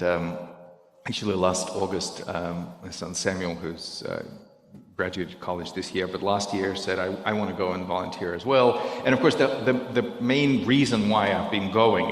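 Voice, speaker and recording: A middle-aged man, a native Russian speaker, addresses a congregation in a low, gravelly voice. He talks earnestly and haltingly, often restarting as he searches for words. His voice rings through a large room with a long, echoing reverb. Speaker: guest speaker at a service. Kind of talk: reflective talk to a congregation. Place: synagogue sanctuary. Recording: echoey hall, long reverb.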